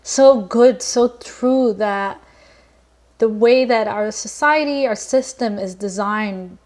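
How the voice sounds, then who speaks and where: An earnest woman from Lebanon talks through her ideas in relaxed conversation. She circles back often, with plenty of fillers and restarts, and her pitch sits in the middle. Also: slow. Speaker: guest. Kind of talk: casual conversation.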